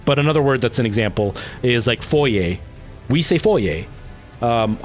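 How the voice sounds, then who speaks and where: A man from Canada with a light Canadian accent, chatting casually with viewers on a livestream, rambling off on tangents and answering viewer comments. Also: medium pitch.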